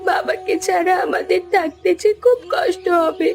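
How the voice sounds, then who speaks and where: A woman's voice: very high voice, moderate pace, creaky. A woman voicing a helpless, grieving orphaned little sister in a village cartoon, crying as she speaks. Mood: tearful grief. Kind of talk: melodramatic cartoon voice acting.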